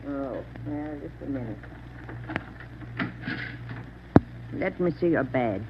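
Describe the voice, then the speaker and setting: A man's voice: mid-range voice, animated, normal speed, lo-fi sound, lightly acted. An actor playing a suspicious, stern landlady in a 1940s radio drama, brusque and scolding, on a crackly old recording. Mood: wary. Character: suspicious, stern rooming-house landlady, protective of roomers.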